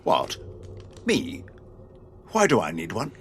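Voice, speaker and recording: A man's voice: on the low side, huge pitch swings, takes it slowly, slight background noise, heavily acted. An actor playing a nervous, fussy and sarcastic male coordinator in a science fiction audio drama. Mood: fussy protest. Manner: fretful, fussy.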